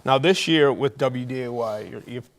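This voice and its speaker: A man's voice: medium pitch, normal speed. A man with an American accent hosting a sports interview show, putting conversational questions to his guests. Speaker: host of a college football coaches' show.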